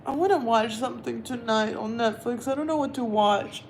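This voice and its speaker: A woman's voice: on the high side, moderate pace, darkish tone, a little hiss or hum, poor audio. Young woman, Russian accent. Chatty livestream host, easygoing tone, reads viewer questions aloud. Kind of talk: casual livestream chat.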